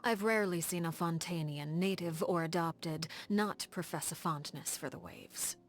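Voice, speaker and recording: Actress as a poised, elegant woman leader, measured and formal. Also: fairly low pitch, soft background music, close to an everyday voice. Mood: calm, reflective. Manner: composed, measured, formal, refined. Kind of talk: scripted video game character dialogue.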